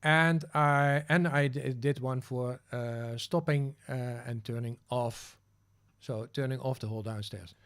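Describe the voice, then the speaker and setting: A man's voice: mid-range voice, steady tone, unhurried, fairly bright tone, smooth. Dutch man thinking aloud in a tutorial. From Netherlands. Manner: thinking aloud, many fillers and restarts. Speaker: livestream host. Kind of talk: casual screen-share tutorial.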